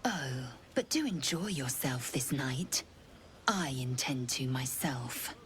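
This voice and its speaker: Game role: imperious alien warrior woman, low pitch, formal, fierce and seductive, faint background noise. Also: expressive, exaggerated voice. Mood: haughty seduction. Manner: haughty, deliberate, formal diction. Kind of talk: video game character acting.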